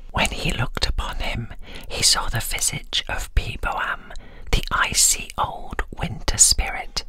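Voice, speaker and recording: A woman's voice: sits low, pushed and bright, husky, dry recording, low-quality recording. A British woman narrating a scripted ASMR bedtime story close to the microphone. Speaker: voiceover artist, storyteller. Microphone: close, dry microphone.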